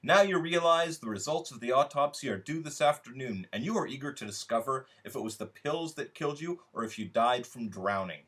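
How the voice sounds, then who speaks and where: A man's voice: medium pitch, lively intonation, brisk, few pauses, fairly bright tone. Male author voicing an aggrieved, lonely talker for a literary short story; sarcastic, needy, emphatic. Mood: mock excited. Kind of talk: dramatic first-person literary reading.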